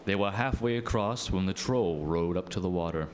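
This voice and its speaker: Low-voiced male storyteller. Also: moderate pace, slight background noise. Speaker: narrator. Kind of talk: scripted storytelling narration.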